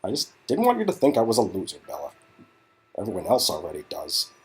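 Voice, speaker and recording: Someone playing a wry, husky young male talent agent. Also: low-pitched, wildly swooping pitch, fast, voice pushed down, full character voice. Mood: sheepish. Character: Chaz, self-deprecating, wisecracking young male Hollywood agent. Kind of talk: dramatic reading of game dialogue.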